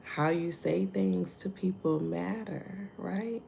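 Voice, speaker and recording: Slow soft-spoken Texan woman, gravelly. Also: fairly low pitch, slight background noise. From Texas. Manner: slow-spoken, greets viewers by name, asks "right?" often. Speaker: devotional host. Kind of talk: live-streamed morning devotional.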